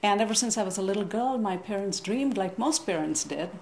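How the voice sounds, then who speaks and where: A woman's voice: mid-range voice, animated, a little mellow, slight background noise. Articulate woman in a roomy studio. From India. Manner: articulate, measured and explanatory. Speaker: interview guest. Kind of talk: TV interview.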